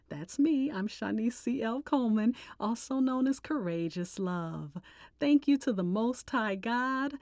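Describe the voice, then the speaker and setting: A woman hosting a podcast, delivering scripted announcements and poetry in a deliberate, expressive way. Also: mid-range voice, dry recording, clean studio sound. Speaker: podcast host. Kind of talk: scripted show sign-off and poetry recitation. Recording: music bed under the voice. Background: music.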